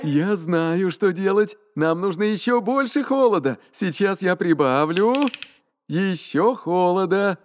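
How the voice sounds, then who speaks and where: Impatient, high-pitched little cartoon man. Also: slight background noise. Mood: eager, impatient. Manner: exaggerated, drawn-out exclamations. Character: impatient, excitable little mustached cartoon man, childlike and whiny. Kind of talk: preschool cartoon voice acting.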